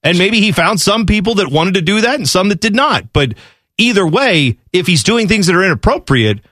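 Male sports-talk co-host, fairly high voice, dry close mic, casual and argumentative. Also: very animated, brisk, fairly bright tone, dry recording. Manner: conversational, argues point by point with everyday examples.

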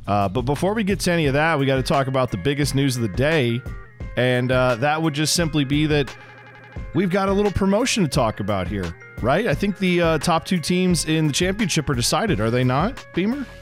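Hoarse man rambling about soccer. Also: on the high side, animated, a little fast, soft background music. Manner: rambling, self-deprecating storyteller. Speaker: podcast co-host. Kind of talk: casual sports chat. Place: home basement.

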